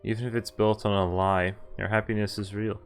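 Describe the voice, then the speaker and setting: Low-voiced man reading a story aloud. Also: flat delivery, a little fast. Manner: stumbles over words while reading. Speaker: game streamer reading visual novel text aloud. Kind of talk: read-aloud story narration. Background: game music.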